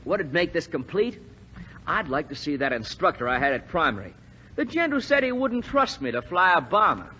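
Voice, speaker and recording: A man's voice: on the high side, very animated, moderate pace, pushed and bright, highs cut off. An actor playing a young, wry, wisecracking American bomber airman in a 1940s radio drama. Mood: relieved, elated. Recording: noisy, band-limited 1940s radio recording.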